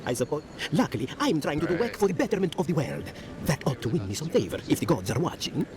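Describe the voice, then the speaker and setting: Swooping, rambling eccentric alchemist. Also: male voice, fairly high pitch, big pitch swings, fast. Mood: musing, proud. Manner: hesitant, musing, ornate phrasing. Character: rambling eccentric male alchemist, self-important yet scattered. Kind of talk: video game character dialogue. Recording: game audio with clear ambient noise.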